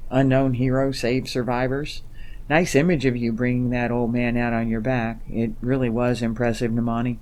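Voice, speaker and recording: Man acting a composed sci-fi ambassador, mid-pitched. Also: flat delivery, normal speed, a little mellow, lightly acted. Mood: warmly teasing. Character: thoughtful, seasoned ambassador, candid and appreciative. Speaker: actor in an audio drama. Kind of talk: dramatic dialogue in a science fiction audio drama.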